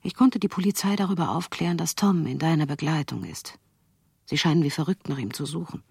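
Husky, scornful woman. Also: sits low, fairly quick, a little mellow, no room sound, clean studio sound, exaggerated voice. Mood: bitter, scornful. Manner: cutting, reproachful. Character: scornful, bitter person delivering a reproachful letter. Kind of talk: radio drama acting.

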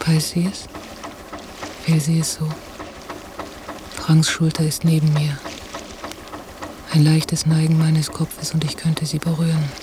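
An actress playing a watchful woman on holiday, narrating a radio drama in a measured, introspective way. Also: low-pitched, monotone, background noise. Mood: pensive. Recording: 1990 radio drama, music behind.

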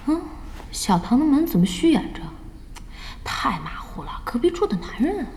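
A woman's voice: high-pitched, sing-song, moderate pace, gravelly, darkish tone, a little hiss or hum, full character voice. An actress playing a bossy but caring female internet cafe boss in an audio drama, exasperated and scolding. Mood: fretting, exasperated. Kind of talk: Chinese audio drama acting.

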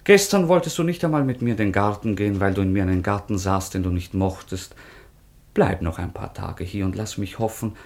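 A man's voice: on the low side, fairly quick, a little mellow. An actor playing an ardent, melancholy young nobleman, speaking earnestly and lyrically in a radio play. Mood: earnest, wounded. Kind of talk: classic radio play acting.

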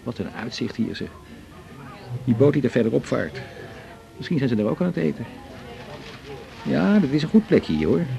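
Gravelly, animated Dutch male doctor. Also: medium pitch, normal speed, long pauses, soft background music, poor audio. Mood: cheerful, chatty. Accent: Dutch from the Netherlands. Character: cheerful, confiding male doctor out dining with his colleague. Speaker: actor in a radio drama. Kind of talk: naturalistic radio drama dialogue.

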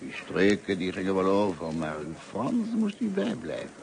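Gentle elderly teacher, low, swooping intonation. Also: male voice, huge pitch swings, moderate pace, vocal fry, exaggerated voice. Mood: amused recognition. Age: older. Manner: formal, courteous, hesitant. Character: gentle lonely elderly male French teacher, courteous, wistful. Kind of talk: radio drama acting. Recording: noisy old radio play recording.

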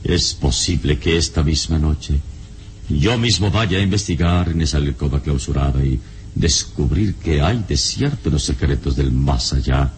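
Calm wise hero in an old radio serial, super deep voice, solemn, bit of hiss. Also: male voice. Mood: reassuring, resolute. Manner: solemn, measured, philosophical. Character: calm, wise male hero who investigates spirits. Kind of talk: classic radio drama acting. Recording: old radio recording, clear hiss and rumble.

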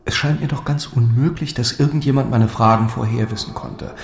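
Gravelly, echoing young lord, acted by a man. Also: mid-range voice, fairly quick, close to an everyday voice. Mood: puzzled. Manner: formal, courteous, questioning. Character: polite, bewildered young English lord. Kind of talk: theatrical audio drama dialogue. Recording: echoey room, faint noise.

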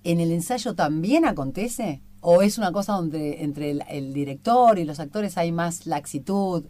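A woman with an Argentine accent interviewing in a conversational way, building her questions in halting, thoughtful phrases. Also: lowish voice, lively intonation, mellow. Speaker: radio interviewer.

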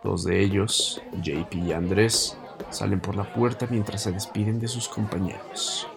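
A man's voice: fairly low pitch, fairly even intonation, a little slow, gravelly, a crowd in the background. A man with a Mexican accent reading scripted narration for an audio story.

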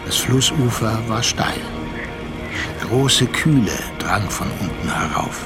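Sober storyteller over loud music. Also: male voice, lowish voice, animated, slow, vocal fry, exaggerated voice. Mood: solemn. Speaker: narrator. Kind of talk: scripted literary radio play narration. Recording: loud music behind narration.

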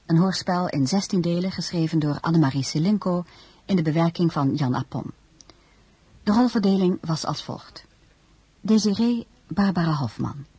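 Very husky, dry-sounding announcer. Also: medium pitch, normal speed, mellow, no echo. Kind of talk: scripted credits announcement.

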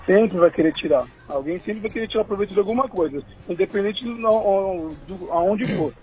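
Hesitant São amateur coach, muffled. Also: male voice, sits high, normal speed. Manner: hesitant, repeats words. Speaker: amateur football coach, guest. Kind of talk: casual interview chat.

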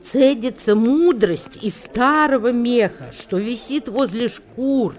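An actress in a radio play voicing a solemn female reciter of ancient wisdom verse, measured and declamatory in delivery. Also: on the high side, a little mellow. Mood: solemn, instructive. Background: music.

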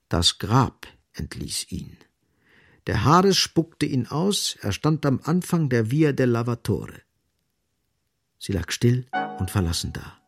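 Male narrator for literary radio drama: creaky, gravelly tone, grave, emphatic phrasing over music. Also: on the low side.

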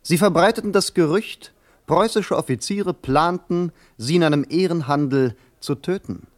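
Guy playing a snooty duel challenger in a radio play, voice a bit high, pompous and cutting, formal speech. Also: normal speed, lightly acted. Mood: accusing. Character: Stege, haughty accusing male duel challenger. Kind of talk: radio drama dialogue.

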